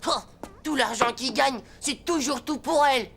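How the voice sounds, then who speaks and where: A woman playing an excitable, sulky little schoolboy in an animated series, with a slangy, grumbling, dramatic delivery. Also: high-pitched, measured pace, gravelly, a little hiss or hum, exaggerated voice. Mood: indignant, sulky.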